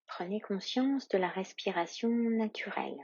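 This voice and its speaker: A woman yoga instructor leading a guided meditation in a calm, soothing, soft and breathy voice with long, measured pauses. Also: mid-range voice, lo-fi sound.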